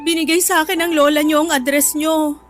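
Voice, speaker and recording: Actress as guilt-ridden Filipino mother, very high tearful voice, begging forgiveness, melodramatic radio play over music. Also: flat delivery, fairly quick, close to an everyday voice. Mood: tearful, remorseful. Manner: pleading. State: crying. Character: remorseful, weeping middle-aged mother begging forgiveness. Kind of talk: Filipino radio drama acting.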